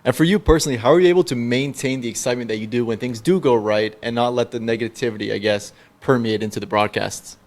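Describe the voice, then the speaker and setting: A man's voice: mid-range voice, fast talker, hardly any pauses. Man hosting a casual sports interview. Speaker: podcast host, interviewer.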